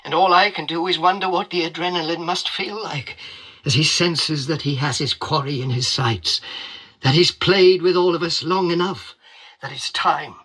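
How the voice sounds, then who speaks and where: A man giving dramatic broadcast narration, heard played through a loudspeaker. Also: a little high, normal speed.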